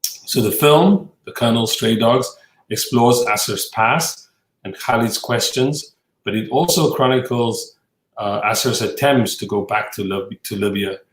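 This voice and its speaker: A male podcast host introducing a film and then questioning his guest, with a halting delivery full of fillers. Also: medium pitch, reverberant, rough recording.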